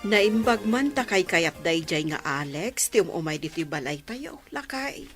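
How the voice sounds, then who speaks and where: A gentle poor village mother. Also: on the low side, lively intonation, measured pace, over music. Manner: gentle, comforting.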